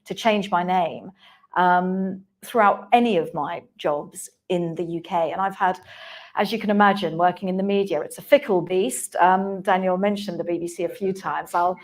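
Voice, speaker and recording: A woman's voice: fairly low pitch, fairly even intonation, normal speed. A woman speaking on a conference panel, chatty and candid, with frequent restarts. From England. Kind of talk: panel discussion. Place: conference hall.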